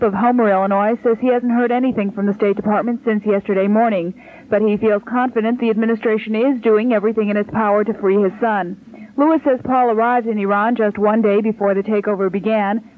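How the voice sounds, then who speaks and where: A woman's voice: mid-range voice, fairly even intonation, a little fast. An American woman reading a scripted news report as a radio reporter. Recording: narrow, tinny 1970s radio sound.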